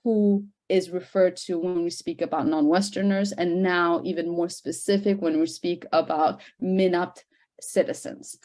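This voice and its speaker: Slightly low-voiced woman presenting research findings. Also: steady tone, a little slow, warm tone. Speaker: panel speaker, researcher presenting report findings. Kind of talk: semi-formal spoken presentation at an online discussion.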